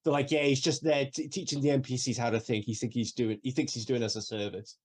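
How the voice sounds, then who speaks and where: A man's voice: mid-range voice, fast, slightly rough. A Welsh-born man who speaks with an English accent, co-hosting a podcast in casual, laughing chat. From Wales. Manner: animated, laughs often, swears casually. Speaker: podcast co-host.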